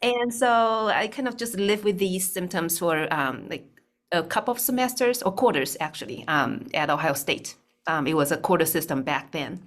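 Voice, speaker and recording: A woman from Taiwan, a speech-language pathologist, talking through her story in a video call interview with many pauses and ums. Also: lowish voice. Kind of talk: casual interview talk. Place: bright room indoors.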